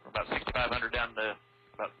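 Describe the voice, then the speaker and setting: A man's voice: mid-range voice, little pitch movement, fast talker. A man reporting as an airline pilot in clipped phrases over cockpit radio. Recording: noisy muffled cockpit radio.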